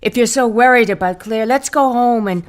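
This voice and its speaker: An actress playing an exasperated older wife who carries shame, in a naturalistic audio drama. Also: female voice, fairly high pitch, moderate pace, no room sound, close to an everyday voice. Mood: firm, urgent. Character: exasperated, ashamed older wife of a zealous husband.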